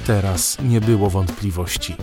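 A man narrating a scripted children's story in an expressive, wry way. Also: low-pitched, moderate pace. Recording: faint music behind narration.

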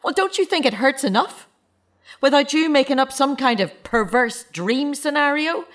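A woman's voice: high voice, expressive, moderate pace, fairly bright tone. A woman acting in an audio drama as a grieving, sceptical mother, terse and challenging with the man who killed her son. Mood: angry, hurt. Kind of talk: naturalistic radio drama acting.

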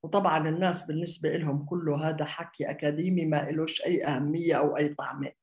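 A woman's voice: sits low, fairly even intonation, racing, highs cut off. A woman raised in Jordan, an architect giving a conversational public talk, hesitant, with many fillers and restarts. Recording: echoey room.

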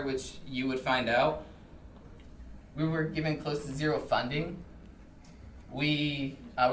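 A man's voice: medium pitch, fairly bright tone. A man giving a public talk, speaking as a presenter to listeners. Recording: echoey distant room recording, faint noise.

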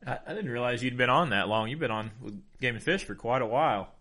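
Bright-voiced male podcast host. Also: mid-range voice, expressive, fast talker. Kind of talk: podcast interview.

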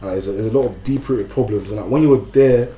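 A man's voice: lowish voice, quick pace, warm tone. A young man with Nigerian roots, hosting a podcast in a laid-back, slangy way, with hesitant fillers. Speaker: podcast host. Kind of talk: casual chat. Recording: echoey room with faint music.

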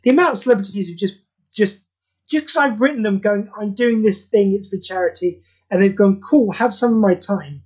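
A man's voice: high-pitched, a little fast, a little mellow, husky. A man speaking as a podcast interview guest in an earnest, rambling, informal way, over a dull lo-fi recording. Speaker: interview guest, creator of charity audio plays. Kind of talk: casual podcast interview chat.

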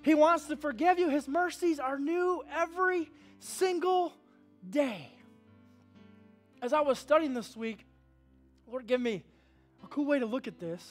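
A pastor in his thirties preaching a sermon, animated, swinging from hushed to loud and calling for responses from the congregation. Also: extremely high pitch, unhurried, pauses often, soft background music. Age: 30s.

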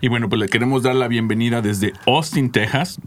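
A man co-hosting a podcast, asking questions in a casual, halting way with many restarts. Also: mid-range voice, fairly even intonation. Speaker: podcast co-host.

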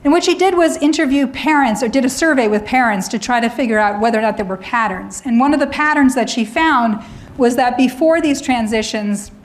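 A middle-aged woman lecturing on academic freedom, slightly hoarse, often clearing her throat and adding wry asides. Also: on the high side, a little fast.

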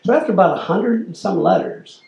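A man's voice: high voice, huge pitch swings, a little fast, a little mellow, reverberant, rough recording. An older man giving a storytelling lecture, acting out the quoted exchanges as he talks. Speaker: lecturer, former corporate lobbyist. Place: lecture hall.

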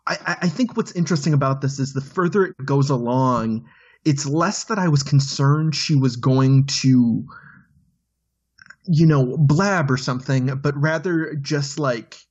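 Husky man thinking aloud haltingly. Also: mid-range voice, dull audio. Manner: hesitant, many restarts, thinks aloud. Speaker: podcast panelist. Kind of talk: casual film discussion.

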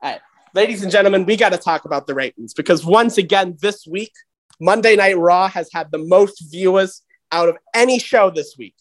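A man hosting a comedy wrestling show, ranting with heavy profanity and excitement. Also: high voice, moderate pace, faint music behind, poor audio. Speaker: host.

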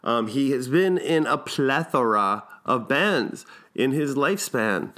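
A man's voice: medium pitch, expressive, slow, a bit bright. A man hosting a podcast in a casual, informal, enthusiastic and slangy way. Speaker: podcast host.

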